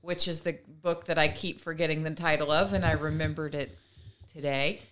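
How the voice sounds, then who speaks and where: A woman talks as a co-host in a casual, conversational style. Her voice sits a little low but is bright and forceful, and she slips into imagined quotes to make her points. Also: fairly even intonation, moderate pace. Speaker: podcast co-host.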